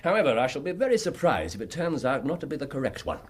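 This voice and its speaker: Theatrical, swooping English gentleman detective. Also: medium pitch, very animated, breathy edge. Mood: exuberant, pleased. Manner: theatrical, mercurial, drawn-out phrasing with murmured hums. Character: brilliant, excitable gentleman detective, self-assured and theatrical. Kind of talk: radio drama acting.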